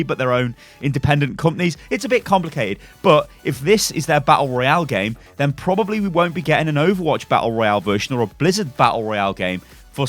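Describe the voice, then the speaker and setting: A man presents gaming news in a casual, chatty style. He is excitable and often throws rhetorical asides to his viewers. His medium-pitched voice sits over faint background music.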